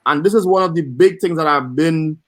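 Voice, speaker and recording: A man's voice: a little high, a little fast, fairly bright tone, no echo. A man with a Trinidadian accent, speaking enthusiastically as a panelist in a webinar discussion over a video call.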